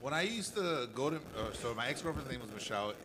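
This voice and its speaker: A man telling a story on a casual podcast, talking fast with a voice that leaps up and down. He swears freely as he goes. Loud background noise and clicks run under the recording. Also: medium pitch. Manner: fast, lively, profane. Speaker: podcast co-host. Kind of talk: animated storytelling.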